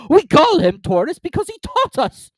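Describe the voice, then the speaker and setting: A reader voicing the Mock Turtle, a sorrowful old sea creature who talks slowly with heavy sighs. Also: very high-pitched, huge pitch swings, no echo, clean studio sound, pitched above the performer's own voice, heavily acted. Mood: mournful. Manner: slow, sighing, drawn-out delivery.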